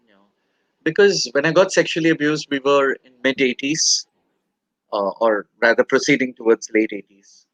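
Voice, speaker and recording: A man from India in his forties, speaking candidly as an interview guest, halting and soft-spoken with many pauses and fillers. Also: medium pitch, no room sound, poor audio.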